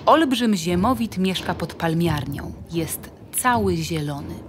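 A woman reading scripted, storybook-like narration for an audio play in a measured, deliberate way. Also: fairly low pitch, animated, slight background noise.

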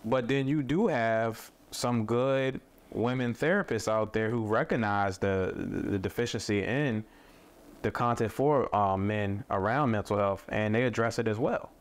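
A man who makes mental health content, talking thoughtfully in a relaxed conversation, with many fillers and restarts. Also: on the low side, unhurried. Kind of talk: casual conversation. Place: studio set with a table.

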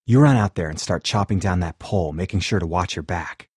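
An earnest, tech-minded survivor in a zombie video game. His voice is deep and very husky, captured close and dry. He talks naturally, a bit awkward and hesitant, with wry matter-of-factness. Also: brisk, no echo. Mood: earnest, planning.

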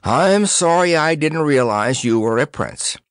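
A man voicing a dreamy, forgetful young male poet in a storybook, theatrical and halting. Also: a little high, expressive, gravelly, no echo, clean studio sound, voice pushed up, exaggerated voice. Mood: rueful.

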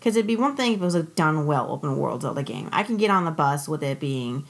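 A woman's voice: low voice, expressive, quick pace, vocal fry. A woman co-hosting a gaming podcast, talking casually with a cold and ranting bluntly with plenty of swearing. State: has a cold. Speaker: podcast co-host. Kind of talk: casual chat.

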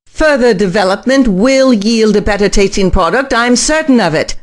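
A woman's voice: fairly high pitch, lively intonation, moderate pace, lightly acted. A woman playing a middle-aged, earnest and driven scientist in an audio drama, speaking formally and insistently. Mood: urgent, pleading.

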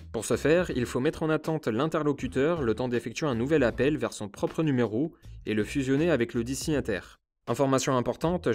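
A man's voice: mid-range voice, darkish tone. Male tutorial host, medium register, scripted instructional narration with formal phrasing, music bed. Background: background music.

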